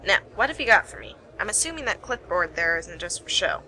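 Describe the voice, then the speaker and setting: A woman's voice: fairly low pitch, big pitch swings, a little fast. Wry young female investigator played by a woman, noisy recording. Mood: wry, brisk. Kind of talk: amateur radio play acting.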